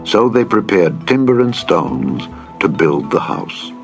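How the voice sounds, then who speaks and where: A man reading scripture as a narrator, in a solemn, measured and deliberate dramatized style. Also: low-pitched, very animated, creaky. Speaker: narrator, Bible reader. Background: background music.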